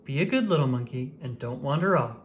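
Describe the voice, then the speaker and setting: A storybook reader voicing a gentle, caring man who soothes and reassures his little companion. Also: medium pitch, lively intonation, brisk, a little hiss or hum, close to an everyday voice. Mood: gentle, reassuring.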